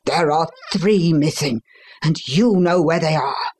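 A man's voice: on the high side, measured pace, darkish tone, slight background noise, lightly acted. A man voicing an anguished, outraged Victorian father in an audiobook, agitated and accusing.

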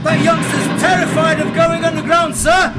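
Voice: extremely high pitch, steady tone, moderate pace, over loud music. An actor playing a firm army sergeant of the Great War in a radio play. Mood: firm, commanding.

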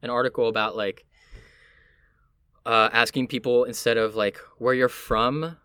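A man from Miami with a Puerto Rican family, talking casually and reflectively, often halting as he searches for words. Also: mid-range voice, fairly even intonation, bright, forceful tone, smooth, no echo.

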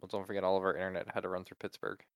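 A man's voice: low-pitched, monotone, very fast, clean tone, dry recording. A man co-hosting a podcast in laid-back chat, explaining tech with lots of filler words. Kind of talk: casual chat.

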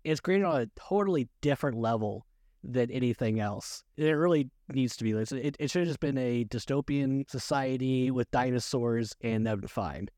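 A man's voice: medium pitch, moderate pace, darkish tone, no echo, clean studio sound. A man co-hosting a casual chat about films, often chuckling as he talks. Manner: chuckles often while talking. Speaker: podcast co-host.

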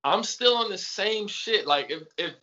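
A man's voice: sits high, animated, a little slow, pushed and bright, dull audio. A man from Atlanta with a Southern accent, a rapper talking as a podcast guest in a laid-back way. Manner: laid-back, repeats yes often. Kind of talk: casual interview chat.

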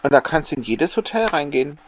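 A man speaks in a fairly high register for a male voice. He chats informally as a podcast co-host, discussing things in an everyday conversational way. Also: very animated, fast, slight background noise. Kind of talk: casual chat and discussion.

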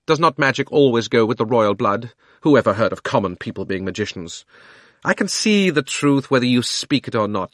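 A man reading an audiobook who voices a proud, imperious witch queen, speaking slowly and with scorn. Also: mid-range voice, fairly bright tone, dry recording, studio quality, natural voice. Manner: slow, commanding, scornful delivery. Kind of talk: audiobook character voice.

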